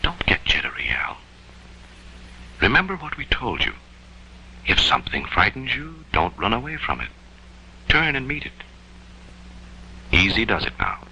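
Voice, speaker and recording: An actor playing a stern, steady spy instructor giving measured admonishments in a 1940s training film voice-over. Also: male voice, fairly low pitch, vocal fry, exaggerated voice, rough recording. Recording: old film soundtrack, hum and hiss.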